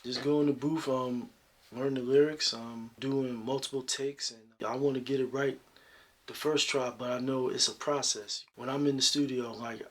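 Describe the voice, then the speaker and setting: A man talking in a deep, slightly husky voice. He answers interview questions softly and at an easy pace, with lots of fillers. His pitch sits in the middle for a man. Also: moderate pace. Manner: soft-spoken, relaxed, with many fillers. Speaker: local singer guest.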